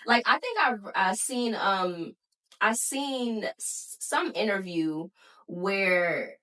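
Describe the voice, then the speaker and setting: A frank young woman in her twenties, chatting casually on a podcast with blunt slang and quick restarts. Her voice sits at a middling pitch and comes from a little way off the microphone, so it sounds slightly distant. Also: expressive, bright, forceful tone. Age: 20s. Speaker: podcast co-host. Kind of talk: casual chat.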